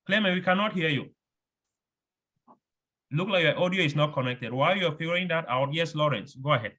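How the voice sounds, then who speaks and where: A man's voice: on the high side, animated, brisk, bright, forceful tone. A man hosting an online orientation, steadily moderating a video call and handing out the floor.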